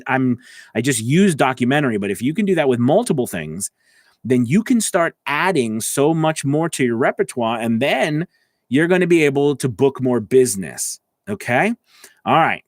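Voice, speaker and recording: A man's voice: mid-range voice, dry recording, studio quality. A man giving an informal lesson as a voice-over coach, explaining conversationally and often checking in with the listener. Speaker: voice-over coach and working voice actor. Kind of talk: informal instructional talk to camera. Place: editing station indoors.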